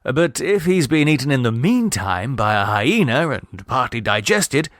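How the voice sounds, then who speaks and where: A man voicing a witty young gentleman in a storybook, lazy, droll and unconcerned. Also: medium pitch, sing-song, a little slow, no echo, clean studio sound. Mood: drily argumentative.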